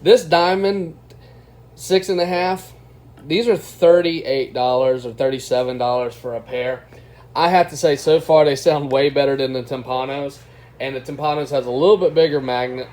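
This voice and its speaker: A man's voice: medium pitch, steady tone, moderate pace, darkish tone. A man hosting a casual, unscripted product test, talking informally to his viewers as he reviews gear. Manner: informal, addresses viewers as guys. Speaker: car audio product reviewer and video host. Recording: clearly noisy background.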